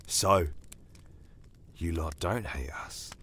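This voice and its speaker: Man doing a blunt, low-voiced captured soldier. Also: very slow, bright, forceful tone, a little hiss or hum, full character voice. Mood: bitter, confiding. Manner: colloquial, blunt. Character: captured human soldier, plain-spoken, resentful, wary. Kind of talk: dramatic audio story dialogue.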